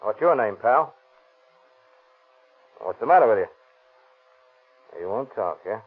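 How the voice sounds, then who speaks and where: A brisk, businesslike police sergeant who keeps every exchange short and to the point. His mid-pitched voice has a husky edge and comes through the narrow, phone-like sound of a 1930s broadcast. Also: very animated, full character voice. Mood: firm. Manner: firm, clipped, businesslike. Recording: tinny 1930s radio broadcast.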